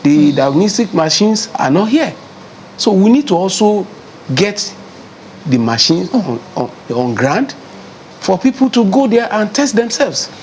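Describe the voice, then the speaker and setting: A man's voice: high voice, very animated, a little slow, darkish tone, slight background noise. A man speaking earnestly as a TV panel guest, repeating words as he gets going. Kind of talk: studio discussion.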